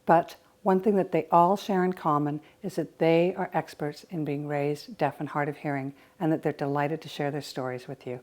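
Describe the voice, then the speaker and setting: A woman's voice: low-pitched, steady tone, normal speed, few pauses, darkish tone. A woman presenter giving an introductory presentation.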